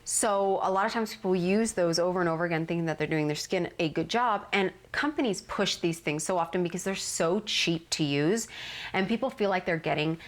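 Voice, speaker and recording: Woman skincare vlogger, lowish voice, a bit stuffed up from a cold, earnest explainer. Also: fairly quick. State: nasal from a cold. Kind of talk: casual instructional talk.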